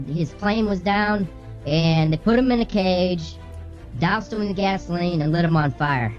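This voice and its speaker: Brash low-voiced woman over background music. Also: a little slow, a little mellow. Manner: brash, bossy, swearing. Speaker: co-host reading the news. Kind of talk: casual comic news banter. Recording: slightly muffled, music underneath.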